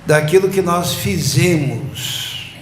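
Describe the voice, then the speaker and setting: A man, preaching a sermon slowly and emphatically, building up repeated phrases. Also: on the high side, animated, slight background noise. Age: 60s. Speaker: pastor. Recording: echoey church room.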